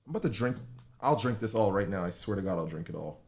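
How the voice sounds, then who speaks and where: A man's voice: fairly low pitch, animated, fast, creaky, darkish tone. An American man in his twenties chatting casually on a live stream, joking and teasing in slangy speech. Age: 20s. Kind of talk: casual chat with viewers and partner. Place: small bedroom, indoors.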